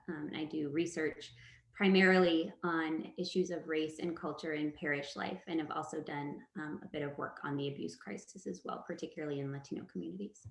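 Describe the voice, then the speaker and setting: A woman with a very low voice introduces herself on an online panel, brisk and matter-of-fact. Her audio is roomy, with noticeable echo around the voice. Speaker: assistant professor. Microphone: video call. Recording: roomy, echoey call audio.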